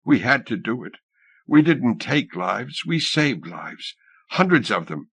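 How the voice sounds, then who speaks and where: A man's voice: medium pitch, very animated, takes it slowly, creaky, fairly bright tone, husky, no echo, full character voice. A man voicing a grave, resolute old drowned woman with a philosophical manner in an audiobook story. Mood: solemn, firm.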